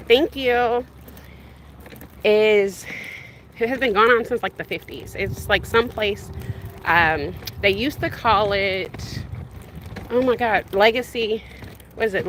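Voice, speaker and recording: A woman's voice: medium pitch, a bit bright, low-quality recording. A woman in her thirties, from the Bahamas, chatting casually and rambling to her livestream viewers while walking outdoors with a stroller, recorded on a handheld phone. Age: 30s. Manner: rambling, conversational, addresses viewers directly. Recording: street noise outdoors.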